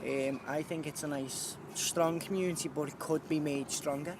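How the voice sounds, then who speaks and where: A man's voice: on the high side, steady tone, moderate pace. Man outdoors amid traffic. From Liverpool, England. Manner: plain-spoken, sincere, some hesitations. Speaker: community volunteer gardener. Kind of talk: interview answers outdoors.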